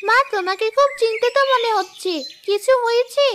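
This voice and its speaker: A woman's voice: very high voice, normal speed, fairly bright tone, slight background noise, pitched above the performer's own voice. A woman voicing a dutiful, anxious young village daughter in a cartoon, childlike and earnest. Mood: concerned. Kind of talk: Bengali fairy-tale cartoon voice acting. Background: music.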